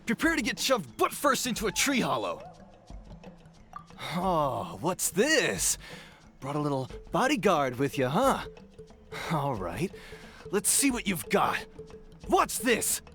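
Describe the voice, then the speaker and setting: An actor playing a brash, cowardly young bandit in a video game, whiny, cocky and theatrical. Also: male voice, high voice, wildly swooping pitch, slow pace, pauses often, pushed and bright, soft background music, heavily acted. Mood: sneering. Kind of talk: video game character acting.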